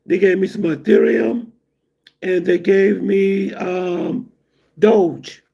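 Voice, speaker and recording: Gravelly, husky man chatting on a livestream. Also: high-pitched, steady tone, slow pace, mellow. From United States. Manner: loose, rambling, laughs at his own jokes. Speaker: livestream host. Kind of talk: casual livestream chat.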